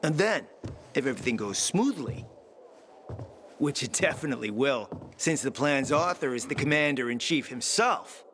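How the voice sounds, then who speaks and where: A man's voice: mid-range voice, lively intonation, moderate pace, fairly bright tone, a little hiss or hum, natural voice. An actor playing a sardonic male companion who mocks everyone around him. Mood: sarcastic. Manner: sarcastic, mocking. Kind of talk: video game cutscene.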